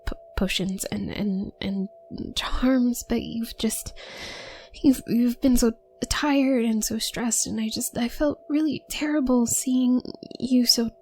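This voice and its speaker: A woman playing a shy, anxious, apologetic young witch student in an audio roleplay, with a soft, breathy voice and a heavy nervous stutter. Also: a little high, slow pace, slight background noise. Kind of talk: intimate audio roleplay acting.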